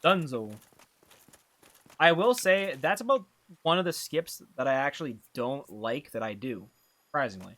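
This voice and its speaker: Animated Canadian man streaming a game. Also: mid-range voice, normal speed. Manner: rambling, slangy, thinking aloud. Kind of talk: casual live gameplay commentary.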